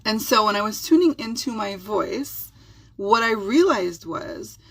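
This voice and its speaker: A middle-aged woman whose first language is Hebrew, speaking with an Israeli accent and giving a soft, soothing self-help talk as a spiritual healer. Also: mid-range voice, lively intonation, measured pace, husky, poor audio.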